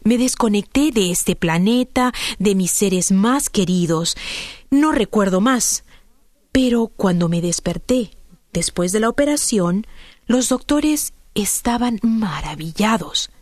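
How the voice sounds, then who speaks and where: Brief: woman, slow and heartfelt testimony, dry close sound. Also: mid-range voice, animated, a little mellow, dry recording. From Peru. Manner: heartfelt, emotional storytelling. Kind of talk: read personal testimony.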